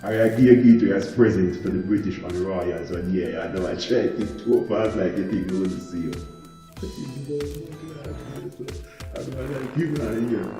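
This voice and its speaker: A man's voice: medium pitch, warm tone, natural voice. An actor playing a cheeky, streetwise, hot-tempered Jamaican man in a radio drama, bantering colloquially in Patois. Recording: echoey room with music behind.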